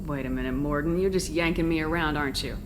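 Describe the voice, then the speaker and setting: An actress playing a resolute, frank human military commander in a video game, assertive and dry-witted. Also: female voice, low-pitched, fast talker, noisy background, natural voice. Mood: skeptical.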